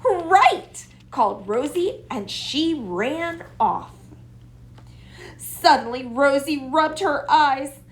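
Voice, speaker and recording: A woman's voice: high voice, slow. A woman storyteller expressively reading a children's story aloud.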